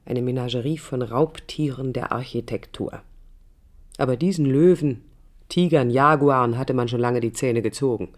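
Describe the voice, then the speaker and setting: A woman's voice: extremely low pitch, normal speed. An actress playing a young, idealistic woman architect who recalls her life in an intimate, literary radio play monologue, reflective and critical. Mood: scornful, intense. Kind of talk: 1980s radio play inner-monologue narration.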